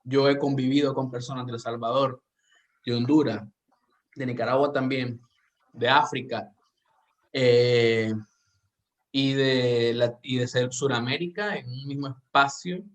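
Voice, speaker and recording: A man's voice: mid-range voice, steady tone, a little slow, pauses often. Earnest Central American man talking. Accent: Central American Spanish. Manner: earnest, reflective, frequent restarts. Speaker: podcast host. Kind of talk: casual podcast conversation.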